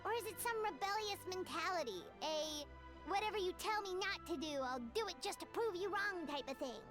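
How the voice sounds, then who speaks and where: A woman's voice: very high-pitched, animated, moderate pace. A woman voicing a cheerful, childlike little companion sprite in a game fan dub, bubbly and exclamatory. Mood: curious. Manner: bubbly, exclamatory, refers to self by name. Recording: loud music behind voice.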